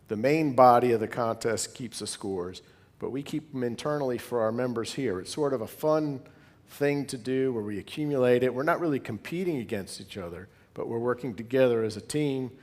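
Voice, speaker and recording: A man speaks in a big reverberant space, his words trailing a long echo. He presents to fellow hobbyists as a club member would, informally and conversationally, drifting into asides. His pitch sits in an ordinary middle male range. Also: moderate pace, darkish tone.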